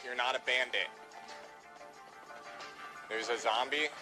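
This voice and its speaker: A man's voice: mid-range voice, fairly even intonation, slow. A man giving live gameplay callouts as a team leader, urgent and commanding, repeating his orders. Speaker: gamer leading a multiplayer roleplay team. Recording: music behind the voice. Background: music.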